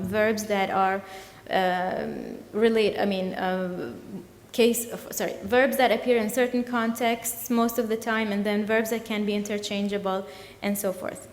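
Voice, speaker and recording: A woman whose first language is Arabic, giving an academic conference talk, halting and full of fillers and self-corrections. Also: medium pitch, a little mellow. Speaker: conference presenter. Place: lecture hall. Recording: echoey hall with long reverb.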